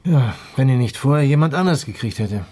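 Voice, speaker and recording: A man's voice: medium pitch, animated, a little fast, a little mellow, lightly acted. An actor in a crime radio play voicing a sober man weighing evidence, factual and dryly objecting.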